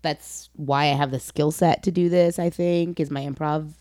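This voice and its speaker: Low-voiced woman hosting a casual podcast. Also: fairly even intonation, moderate pace, darkish tone, dry recording, studio quality. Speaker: podcast host. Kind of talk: casual conversational interview.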